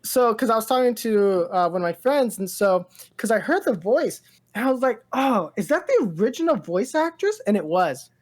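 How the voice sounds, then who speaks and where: A woman's voice: a little high, big pitch swings, moderate pace, a little mellow. A woman chatting casually as a podcast co-host, enthusiastic and rambling, peppering her talk with "like" and "dude". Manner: rambling, heavy use of "like" and "dude"; enthusiastic, gushing. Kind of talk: casual pop-culture chat.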